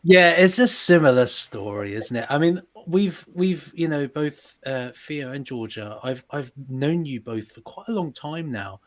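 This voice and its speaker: A man's voice: on the high side, lively intonation, a little slow, few pauses. A man, a sound designer chatting casually on a livestream, self-deprecating and full of restarts. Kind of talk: casual chat.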